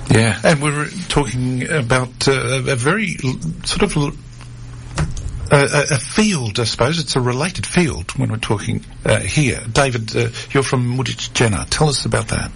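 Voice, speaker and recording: A man's voice: medium pitch, normal speed, vocal fry, fairly bright tone, slight background noise. A man hosting a community radio health show in a studio, talking with many fillers and restarts. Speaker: radio host.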